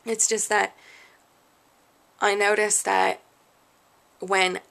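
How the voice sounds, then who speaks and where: A woman's voice: medium pitch, little pitch movement, very slow, creaky, fairly bright tone. A young adult woman vlogging a frustrated, rambling rant, questioning herself as she talks. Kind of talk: candid rant to camera.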